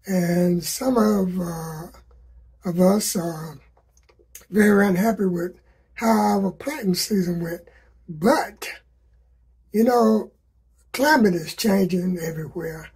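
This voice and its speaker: Hoarse, slow-talking man. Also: sits high, a little mellow. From South Carolina. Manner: slow, folksy, repeats sayings to drive a point home. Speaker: gardening vlogger. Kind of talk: casual advice to viewers.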